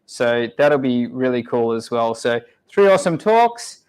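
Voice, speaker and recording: A man's voice: medium pitch, very animated, unhurried, darkish tone, clean tone. A man hosting a meetup, introducing the talks in an upbeat, enthusiastic way. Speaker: meetup host. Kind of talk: event introduction.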